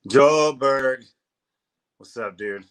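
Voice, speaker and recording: A man's voice: medium pitch, extremely slow, a little hiss or hum. A man from Southern California hosting a podcast over a video call, talking in a laid-back, slangy way with lots of "dude.". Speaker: podcast host.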